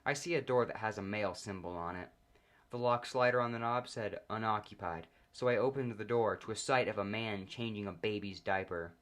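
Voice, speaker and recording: A man narrates a comedic story in the first person. His voice sits on the low side, and he keeps a deadpan delivery as he reads. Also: a little fast.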